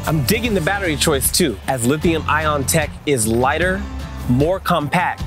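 A man's voice: a little high, lively intonation, normal speed. A man narrating a scripted product review in a casual, slangy, enthusiastic way, as a channel presenter would. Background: music bed with guitar.

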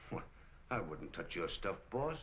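A man's voice: low-pitched, sing-song, a little slow, a bit bright, exaggerated voice. An actor playing a deferential gangster's henchman in a 1940s film, with slangy talk. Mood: wary. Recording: muffled old 1940 film soundtrack.

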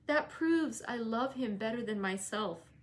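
A woman reading the part of a spirited teenage girl, speaking high and emphatically with rising pleas. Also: expressive, measured pace. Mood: earnest.

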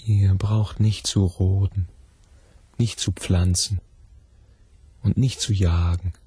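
An actor playing a persuasive, insistent recruiter with a husky, gravelly voice in a radio drama. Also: male voice, low-pitched, fairly even intonation, slow pace, warm tone, no echo, exaggerated voice. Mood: coaxing. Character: persuasive, insistent recruiter plying a villager with drink.

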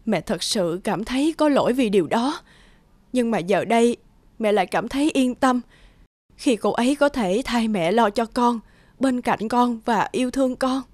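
A woman's voice: fairly high pitch, lively intonation, normal speed, darkish tone, dry recording. A woman voicing a loving, apologetic mother in a cartoon. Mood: tender, apologetic. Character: loving, apologetic mother who lives abroad. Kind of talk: cartoon voice acting.

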